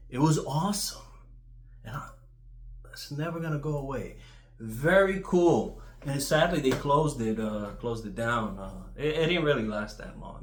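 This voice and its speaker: A man telling stories as a gaming YouTuber in an informal, blunt, sweary, rambling way. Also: medium pitch. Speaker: YouTuber telling stories about video games. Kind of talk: casual rambling storytelling. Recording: roomy, echoey sound.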